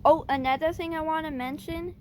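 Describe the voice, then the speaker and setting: A woman vlogger giving casual advice, talking in a halting, hesitant way with stutters and restarts. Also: sits high, fairly even intonation, darkish tone, slight background noise. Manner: hesitant and plain-spoken.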